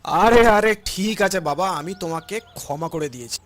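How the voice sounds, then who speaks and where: A man playing an honest, gentle but reproachful elderly father in a cartoon, emotive and pleading. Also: high voice, moderate pace, slight background noise. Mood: forgiving. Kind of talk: cartoon voice acting.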